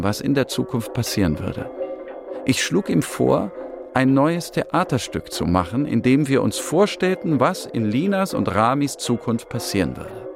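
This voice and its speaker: A man's voice: mid-range voice, gravelly. A man playing an earnest Syrian puppeteer who narrates his refugee-camp story in a scripted radio drama. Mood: thoughtful, hopeful. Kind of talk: scripted radio-drama narration, German voice-over of an Arabic speaker. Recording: music behind the voice. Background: music.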